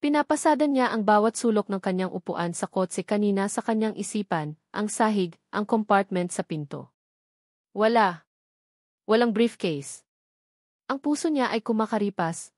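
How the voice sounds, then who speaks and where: A woman narrates a dramatic story in a scripted storytelling style. Her voice sits at a middle pitch, and the sound is dry, with hardly any room in it. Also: lively intonation, normal speed, a little mellow, dry recording.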